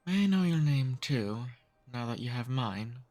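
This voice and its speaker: Casting: male actor for an ancient noble vampire, mid-pitched male voice, charming and softly dominant, intimate ASMR roleplay. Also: animated, faint music behind, natural voice. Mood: seductive, teasing. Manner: courtly, slow seductive teasing.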